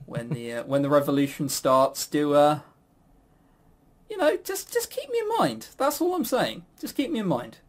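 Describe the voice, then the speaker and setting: Male podcast quizmaster, slightly high voice, chatty and rambling, self-mocking humor with casual swearing. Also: very animated, normal speed. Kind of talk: casual banter.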